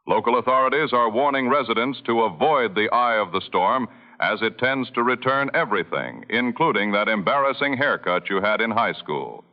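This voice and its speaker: A man playing a bombastic satirical radio news anchor, reading a scripted mock bulletin with deadpan seriousness. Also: medium pitch, normal speed, lo-fi sound, close to an everyday voice. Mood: mock-serious.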